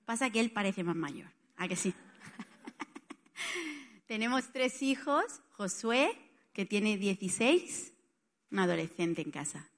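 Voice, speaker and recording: A woman's voice: mid-range voice, animated, takes it slowly, long pauses. A middle-aged woman from Spain with an Italian tinge to her accent, addressing a congregation informally and jokingly as a visiting pastor. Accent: Spanish with an Italian tinge. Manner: joking, chatty.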